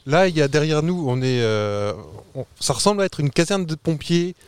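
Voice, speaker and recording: A man co-hosting a podcast outdoors on a town square, chatting casually and throwing in quick deadpan jokes. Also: on the high side, smooth. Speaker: podcast co-host. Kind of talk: casual chat.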